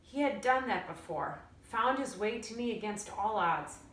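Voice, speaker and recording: A woman teacher reading a story aloud in an expressive storytelling style. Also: mid-range voice, normal speed. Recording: roomy echo, distant mic.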